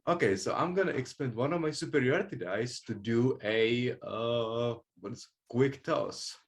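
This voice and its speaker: A man speaking casually and haltingly as a player in a tabletop game, working through his moves. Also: medium pitch.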